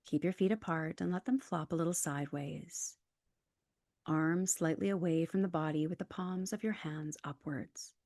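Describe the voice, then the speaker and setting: Female yoga nidra guide, lower register, calm and soothing, scripted instructions, very dry close sound. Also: moderate pace, no echo.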